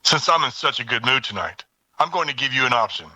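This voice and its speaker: A man's voice: mid-range voice, lively intonation, fast, pushed and bright, poor audio. A man playing a smug, menacing character who toys with people, in an audio drama. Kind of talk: audio drama acting, outtakes.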